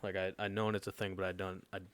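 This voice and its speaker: Deep-voiced nineteen-year-old guy telling a story. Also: flat delivery, quick pace, fairly bright tone, clean tone. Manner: laid-back storytelling that often checks in with the listeners. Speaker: podcast co-host. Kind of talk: casual chat, telling a personal story.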